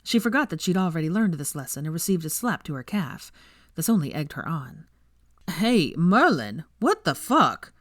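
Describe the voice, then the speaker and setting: A woman's voice: low voice, expressive, a little fast, dry recording, studio quality. A woman reading scripted story narration as an audiobook narrator. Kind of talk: scripted narration of fan fiction.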